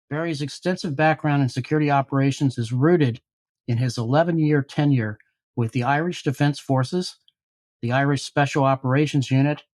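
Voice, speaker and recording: A man's voice: mid-range voice, darkish tone. A man hosting a podcast interview, reading a polished introduction and asking questions. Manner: polished, scripted-sounding introductions. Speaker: podcast host.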